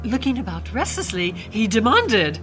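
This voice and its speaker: A woman's voice: on the high side, big pitch swings, moderate pace, a bit bright. A woman narrating a fairy-tale radio drama in a theatrical, suspenseful style. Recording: music bed under voice.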